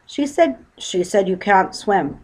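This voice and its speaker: A woman's voice: medium pitch, animated, slow, a little mellow, low-quality recording. A woman voicing a put-upon middle-class father in a storybook, explanatory and slightly exasperated. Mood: yelling.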